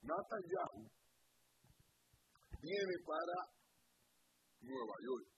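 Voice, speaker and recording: Animated Puerto Rican radio host. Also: male voice, high-pitched, drawn-out words, gravelly, highs cut off, background noise. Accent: Puerto Rican Spanish. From Puerto Rico. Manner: animated, questioning, trails off and repeats words.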